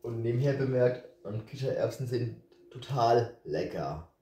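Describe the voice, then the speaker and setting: A man with a regional German dialect, casually talking through a cooking tutorial in his home kitchen, relaxed and rambling with hesitations. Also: medium pitch, measured pace, distant mic. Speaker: home cook vlogger. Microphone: camera set on the counter, speaker off to the side. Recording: roomy kitchen, voice away from mic, faint noise.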